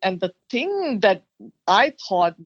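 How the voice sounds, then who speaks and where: A mature woman from Thailand telling her own story as an interview guest, animated and expressive. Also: mid-range voice, takes it slowly, no echo. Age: middle-aged or older. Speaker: guest, bridal designer. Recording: dull, lo-fi sound.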